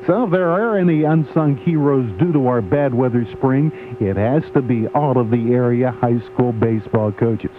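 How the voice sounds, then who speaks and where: A tinny AM radio recording with a faint hum carries a man's mid-pitched voice. He reads sports commentary in a measured, emphatic announcer style.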